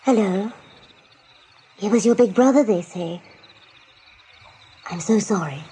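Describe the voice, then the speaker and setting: A woman's voice: mid-range voice, slow pace, mellow, a little hiss or hum, natural voice. A woman voicing a timid young female rabbit, plaintive and girlish, in a radio play. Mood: sympathetic. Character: timid, sensitive young doe rabbit newcomer.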